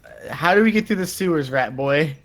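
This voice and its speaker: Casting: male game player, fairly high and lively voice, casual banter, faint music behind. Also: a little fast, background noise. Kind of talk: casual group game chat.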